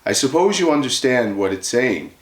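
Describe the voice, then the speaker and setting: Indignant young schoolgirl, played by a man reader, storybook dialogue, swooping pitch, roomy sound. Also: sing-song, moderate pace, natural voice. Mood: sour, accusing. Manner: indignant, blunt. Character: sour, accusing young girl in a children's storybook.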